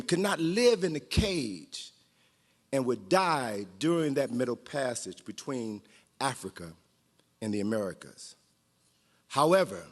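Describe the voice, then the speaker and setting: A man reading a story aloud to a group as a guest storyteller, playful and dramatic, with joking asides. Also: on the high side. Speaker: guest storyteller, author and historian. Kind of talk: animated read-aloud storytelling to live group. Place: large hall at a training event. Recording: reverberant hall.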